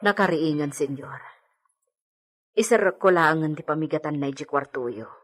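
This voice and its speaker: A woman's voice: low voice, moderate pace, faint music behind. A woman with an Ilocano accent playing a deferential, fretful household maid in a radio drama, given to muttering.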